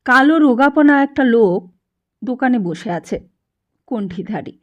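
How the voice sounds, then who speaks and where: High-voiced woman narrating a story. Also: animated, unhurried, lo-fi sound. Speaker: audiobook narrator. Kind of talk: expressive scripted story narration.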